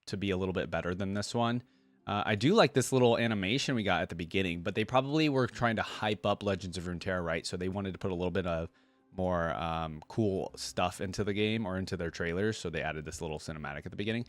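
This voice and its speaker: A man giving casual, slangy gaming reaction commentary, thinking aloud as he goes. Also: on the low side. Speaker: reaction video host. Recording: music playing behind the voice. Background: game trailer and theme music.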